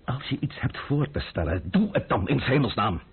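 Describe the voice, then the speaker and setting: Radio drama: haughty French nobleman, threatening and sarcastic, gravel-edged voice leaping widely in pitch. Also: very animated, a little fast, exaggerated voice. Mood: impatient scorn. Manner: pompous, sarcastic, commanding. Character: blustering, threatening French count, haughty royal loyalist. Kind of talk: Dutch radio play acting. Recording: muffled phone-like old radio recording.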